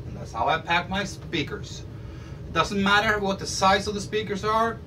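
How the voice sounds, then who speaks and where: Middle-aged guy rambling about his shop's shipping, bit high voice, mic far off, faint hiss. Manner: rambling, restarts often, gestures while talking. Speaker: audio shop owner. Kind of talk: explaining a service to camera. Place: indoor room full of stacked audio gear. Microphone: camera across the room. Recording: distant mic with faint room noise.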